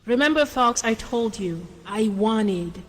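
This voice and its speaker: A woman's voice: on the high side, expressive, slow, in a large hall. A woman from Senegal with a Senegalese accent, hosting a motivational radio talk show in an earnest, hesitant way full of ums and restarts. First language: French and Wolof.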